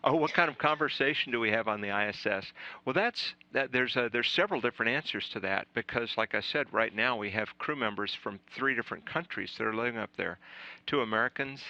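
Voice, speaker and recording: A lower-pitched man with a gravelly, bright-edged voice explains things casually, tripping over restarts and fillers. The sound is muffled and lo-fi, like an old broadcast feed. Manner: halting, lots of uh and repeated starts. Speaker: astronaut answering questions.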